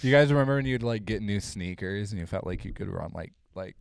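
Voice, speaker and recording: A man's voice: lively intonation, fast, creaky, smooth, dry recording. A young man co-hosting a casual podcast chat with friends, playful and laughing often. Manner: playful, laughs often, swoops pitch up and down for jokes. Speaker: podcast co-host.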